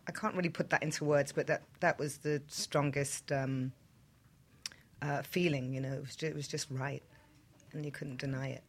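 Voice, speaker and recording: Low-pitched woman, reflecting haltingly. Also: fairly even intonation. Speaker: panelist. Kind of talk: casual personal reflection.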